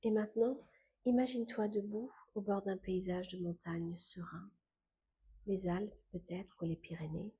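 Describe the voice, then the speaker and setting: A woman guiding a scripted meditation in a calm, gentle, unhurried way, with soft phrase endings that fade into breath. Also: mid-range voice, breathy edge. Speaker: meditation guide.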